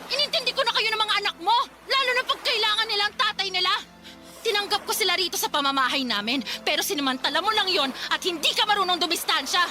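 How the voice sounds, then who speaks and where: A woman's voice: very high voice, fast, pushed and bright, exaggerated voice. A woman playing a fiery, confrontational betrayed girlfriend in a melodramatic radio drama, scolding shrilly and emphatically. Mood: enraged. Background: background music.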